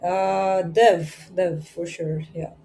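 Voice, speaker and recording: A woman's voice: lowish voice, steady tone, very slow, creaky, mellow. Thoughtful woman. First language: Romanian. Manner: hesitant, thoughtful, light laughs. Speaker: podcast guest. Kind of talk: casual interview answers.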